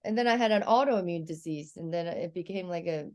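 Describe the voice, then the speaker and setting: A woman's voice: fairly low pitch, fairly quick. Woman with a Hong Kong accent. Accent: Hong Kong Cantonese. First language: Chinese. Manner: candid, animated storytelling. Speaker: guest comedian. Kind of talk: casual podcast chat.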